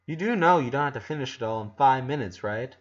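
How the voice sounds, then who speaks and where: A man playing a guarded, husky young girl. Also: mid-range voice, lively intonation, fast talker, highs cut off, natural voice. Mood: friendly, modest. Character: guarded young girl, tough but caring friend.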